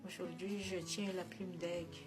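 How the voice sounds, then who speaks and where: Female survivor; lower voice, calm and reflective. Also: a little slow, over music. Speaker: residential school survivor. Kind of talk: testimony.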